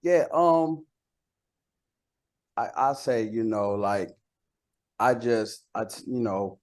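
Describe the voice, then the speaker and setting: A man's voice: mid-range voice, expressive, slow, darkish tone. A man with a mid-range voice speaks as a rapper being interviewed. His talk is casual and slangy. He tells anecdotes in a conversational way, often repeating a phrase as he goes.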